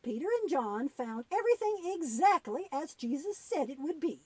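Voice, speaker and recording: A kindly old granny puppet, played by a woman, tells children a Bible story in a high, animated voice. She is patient and gently instructive, pausing as she goes, and the sound is close and dry. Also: dry recording.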